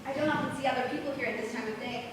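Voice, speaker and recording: In a noisy, reverberant hall, a young woman plays a sweet and candid girl in a school play. Her voice is high, and she hides self-blame under good cheer. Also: rapid-fire, lightly acted. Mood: friendly. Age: teens or young adult. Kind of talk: school stage play acting. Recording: echoey noisy hall from far off.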